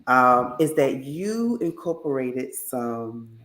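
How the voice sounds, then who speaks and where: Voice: mid-range voice, very animated, slow, a bit bright. An effusive podcast host chatting casually with a guest and speaking straight to the listeners. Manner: effusive, addresses listeners directly. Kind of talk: casual interview chat. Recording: roomy, echoey sound.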